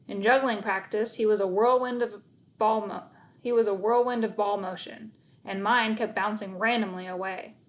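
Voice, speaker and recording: A woman narrating a children's book aloud in the first person, reading expressively. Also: mid-range voice.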